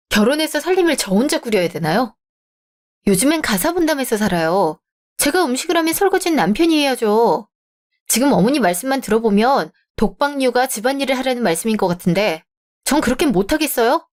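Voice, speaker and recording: A woman's voice: on the high side, big pitch swings, a little fast, a little mellow. A woman voicing a composed young bride-to-be in a radio drama, polite but firm as she argues her point.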